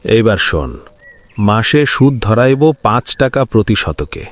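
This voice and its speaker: A man's voice: on the low side, very animated, a little slow, no room sound. A man voicing a greedy, mocking village moneylender, in a cartoon. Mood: scornful. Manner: mocking, domineering.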